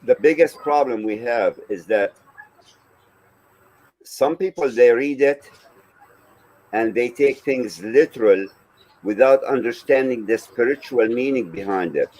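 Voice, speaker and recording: A man with a mid-range, rather husky voice takes part in an informal religious discussion. He explains his points conversationally, as one panelist among others. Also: mid-range voice, unhurried, pauses often, darkish tone, poor audio.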